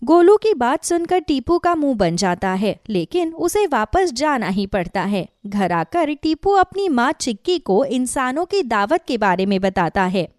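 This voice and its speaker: High-pitched, animated woman storyteller. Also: unhurried, few pauses, dry recording, studio quality. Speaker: narrator. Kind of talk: scripted children's story narration.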